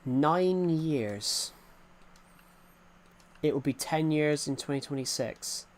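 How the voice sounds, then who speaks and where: A man's voice: on the high side, expressive, slow pace. A man in his twenties chatting casually on a game stream, rambling and repeating himself while answering chat from a desk mic. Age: 20s. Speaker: gaming streamer.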